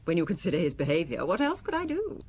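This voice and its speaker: An actress playing a cold, elegant wife in an audio drama, speaking precisely with haughty contempt and pointed questions. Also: female voice, fairly low pitch, wildly swooping pitch, fast, darkish tone, husky, exaggerated voice. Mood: scornful.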